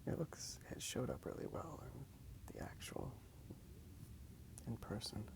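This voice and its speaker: A man whispering softly in a breathy, gravelly voice, rambling casually and a little hesitantly in an ASMR style. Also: medium pitch, very animated, slow. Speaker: ASMR video creator. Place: outdoors in a park.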